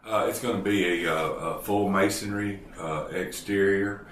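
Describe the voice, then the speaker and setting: A man with a low voice speaks in a halting way, with an "uh" before almost every phrase. He sounds distant in a roomy space, with light noise behind him. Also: fairly even intonation, fairly bright tone. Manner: halting, many fillers. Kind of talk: interview answers.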